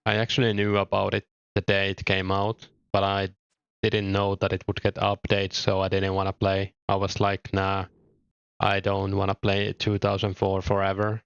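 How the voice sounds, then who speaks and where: A young man from Finland with a mild Finnish accent, chatting casually with viewers while streaming a game, relaxed and thinking aloud with small hesitations. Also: low voice, creaky, dry recording, highs cut off. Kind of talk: casual chat reading viewer comments.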